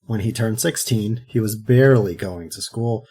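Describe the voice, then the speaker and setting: A man's voice: on the low side, normal speed, dark tone, slightly rough. A man in his thirties co-hosting a podcast, joking around and then going through background facts. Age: 30s. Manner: goofy, joking. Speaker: podcast co-host. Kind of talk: casual chat and reading history.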